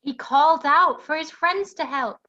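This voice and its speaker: Bright, high-voiced woman narrating. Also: moderate pace, lo-fi sound. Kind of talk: rhyming pantomime storytelling.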